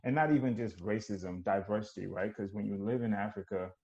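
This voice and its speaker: A man's voice: lowish voice, a little fast, muffled recording. A man from Nigeria, a podcast guest, talking casually and reflectively with lots of fillers. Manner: relaxed, reflective storytelling with many fillers.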